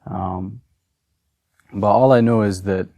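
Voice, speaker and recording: A man's voice: lowish voice, fairly even intonation, slow pace, warm tone, no room sound. A young man from Massachusetts with an American accent, giving an informal personal testimony in a hesitant, reflective, conversational way. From Massachusetts, United States. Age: young adult. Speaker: convert telling personal story.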